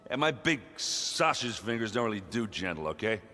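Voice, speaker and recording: A man's voice: on the low side, very animated, moderate pace, sharp tone. A man playing a burly, good-natured warrior in a game cutscene, with an informal, jokey way of talking. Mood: flustered, joking. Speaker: game character actor. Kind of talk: animated game cutscene acting. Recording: reverberant hall, music behind.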